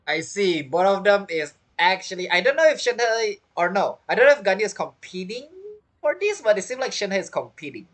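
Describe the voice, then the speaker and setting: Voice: mid-range voice, very animated, a little fast, a bit bright. A game streamer giving casual, amused reaction commentary in informal, loosely grammatical English.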